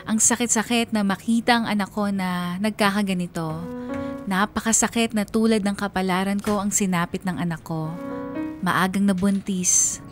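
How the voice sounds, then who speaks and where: A woman's voice: mid-range voice, steady tone, moderate pace, natural voice. A woman playing a sorrowful, devoted mother narrating her life story in a radio drama. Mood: pained, regretful. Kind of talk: scripted first-person radio drama narration. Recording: music bed behind the voice.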